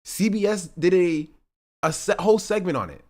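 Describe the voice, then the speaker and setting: A man's voice: sits high, lively intonation, normal speed, darkish tone. A man in his twenties streaming, rambling through casual political commentary with sarcastic asides and self-corrections. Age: 20s.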